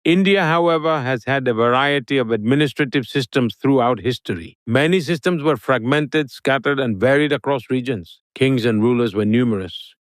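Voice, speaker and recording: Brief: male guest voice, mid pitch, measured speech-like delivery, dry mic. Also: no echo. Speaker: interview guest.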